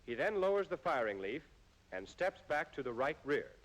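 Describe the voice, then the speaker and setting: Higher-pitched American man, formal film narration. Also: sing-song, low-quality recording. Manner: formal, measured delivery. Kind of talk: scripted instructional narration. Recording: old military film soundtrack.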